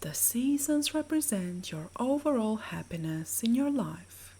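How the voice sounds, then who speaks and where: A woman with a soft, breathy voice narrating a scripted guided sleep meditation in a soothing, measured, gently instructing way. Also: on the high side, very animated.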